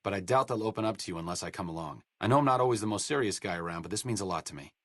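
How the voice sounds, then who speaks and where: A dry-witted scientist companion. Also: male voice, low-pitched, expressive, rapid-fire, no room sound. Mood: earnest. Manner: dry sardonic wit, educated phrasing. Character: wry, learned male scientist companion, dry wit. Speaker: game companion voice lines. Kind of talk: studio game voice acting. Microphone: close studio mic.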